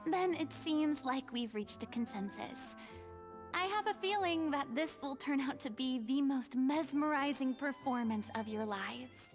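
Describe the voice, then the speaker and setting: A woman voicing a gentle, thoughtful young female adventurer in a game, speaking softly and breathily. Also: high voice, a little slow, soft background music. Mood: calm. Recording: reverberant game audio.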